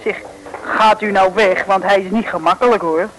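A woman's voice: mid-range voice, steady tone, moderate pace, close to an everyday voice, low-quality recording. An actress playing a naive, timid young sidekick with a chirpy, girlish way of talking, in an old radio play. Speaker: detective's assistant. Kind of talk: 1950s radio play acting.

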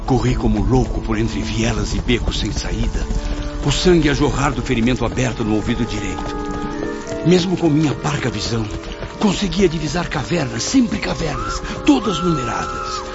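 A man's voice: medium pitch, animated, moderate pace, lightly acted. A man voicing a tormented male suicide who tells his own ordeal in dramatic first-person narration. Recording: dull lo-fi with loud music.

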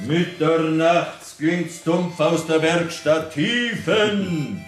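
A man's voice: fairly high pitch, extremely slow, echoey room, faint music behind. A man playing a playfully pompous, mocking guest who declaims theatrically in a radio play. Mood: mock-spooky.

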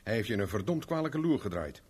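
Tough, husky-voiced investigator. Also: male voice, fairly low pitch, big pitch swings, fairly quick, a little mellow. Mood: blunt, urgent. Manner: curt, sardonic, informal. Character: tough, blunt, wry private investigator, adult man. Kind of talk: 1970s Dutch radio play acting.

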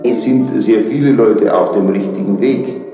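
A man offers his opinion in unscripted talk. His low, dark-toned voice rings in very heavy echo, with faint music behind it. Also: moderate pace. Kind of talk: spontaneous opinion in a radio collage. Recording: very echoey, long reverb, faint music.

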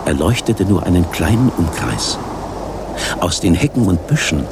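A man's voice: low voice, big pitch swings, normal speed, noisy background. A man reading scripted narration for a children's story. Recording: studio narration under loud music. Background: loud music.

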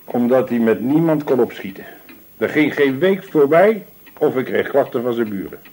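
An actor in a Dutch radio play, playing a callous, gossipy landlord who talks in a disdainful, evasive, complaining way. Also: male voice, medium pitch, expressive, moderate pace, darkish tone, breathy edge. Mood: scornful, gossipy. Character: callous, gossipy, self-justifying male landlord.

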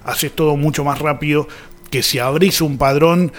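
A man's voice: fairly high pitch, fairly even intonation, moderate pace. A man with an Argentine accent hosting an informal radio show, thinking aloud conversationally. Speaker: radio host. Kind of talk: casual radio talk with listener messages.

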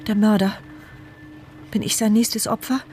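Radio drama lead: actress playing anguished woman, mid-pitched inner monologue, short present-tense thoughts, prominent music bed. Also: level tone, a little slow, lightly acted. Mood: terrified. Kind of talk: dramatic first-person radio play monologue. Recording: studio radio play with background music.

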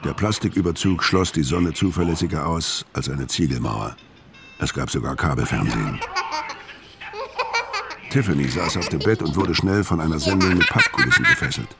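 An actor playing a gruff, laconic, sardonic killer on the run, hard-boiled and world-weary, in a radio crime drama. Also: male voice, extremely low pitch, big pitch swings, normal speed, background noise, heavily acted. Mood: bleak, weary. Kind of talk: first-person crime narration and radio drama acting.

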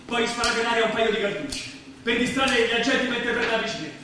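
Echoey, high-pitched fiery rebel leader played by a man. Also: animated, a little fast. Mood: urgent, resolute. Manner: declamatory, commanding. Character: Pio, fiery commanding male rebel leader among refugees. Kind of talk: stage drama acting. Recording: echoey theatre stage from far off, faint noise.

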